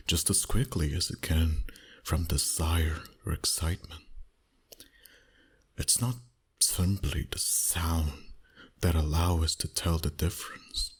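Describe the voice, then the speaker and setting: A man playing a seductive, dominant vampire boss in an intimate ASMR roleplay, breathy and often near a whisper, teasing slowly and deliberately. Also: a bass voice, full character voice. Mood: calm, intimate. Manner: slow, deliberate, flirtatious.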